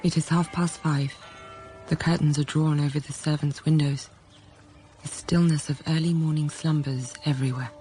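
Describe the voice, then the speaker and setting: An actress playing a dutiful young governess in a period radio drama, speaking in a measured, restrained, formal way. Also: female voice, low voice, steady tone, dark tone, soft background music, lightly acted, poor audio. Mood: hushed, tense. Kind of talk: period radio drama acting and first-person narration.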